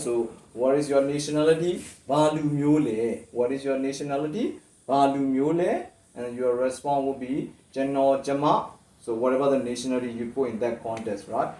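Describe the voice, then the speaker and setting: A man whose first language is Burmese runs a relaxed language class at a brisk clip. He keeps checking that his listeners are following along. His voice reaches the microphone from across an echoey room, with a faint noise underneath. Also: mid-range voice, far from the mic. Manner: explains patiently, checks in with frequent "right?". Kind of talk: language lesson.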